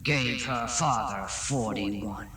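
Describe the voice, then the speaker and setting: A man's voice: on the low side, takes it slowly. A man playing an eerie chanter of a macabre rhyme in a 1970s radio drama. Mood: ominous. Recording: echoey, distant, noisy 1970s radio recording.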